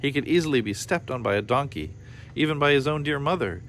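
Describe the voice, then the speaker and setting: A man's voice: mid-range voice, animated, fast talker, fairly bright tone. Man reading a storybook, noisy background. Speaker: storybook reader. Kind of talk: children's story read-aloud with playful asides. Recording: clear background noise behind the voice.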